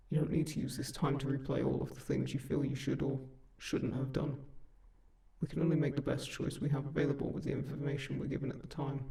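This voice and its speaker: A man playing a kind sleep paralysis demon in a comfort audio roleplay, speaking gently and reassuringly in an unhurried, gravelly voice. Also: mid-range voice, close to an everyday voice. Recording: roomy, echoing, slightly distant voice.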